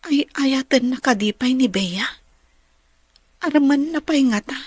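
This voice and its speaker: A woman's voice: high-pitched, unhurried, husky, dry recording, clean studio sound. A woman acting a concerned young woman in an Ilocano audio drama.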